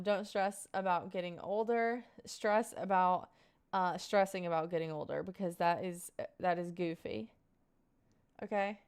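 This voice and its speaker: Smooth-voiced young woman chatting with viewers. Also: mid-range voice. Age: 20s. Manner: rambling, filler-heavy, earnest advice. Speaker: live streamer. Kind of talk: casual chat answering viewers.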